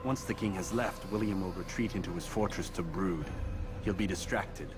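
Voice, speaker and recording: Man acting as determined young assassin, deep voice, terse, cold, deliberate, video game cutscene, music behind. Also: lightly acted. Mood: composed, resolute.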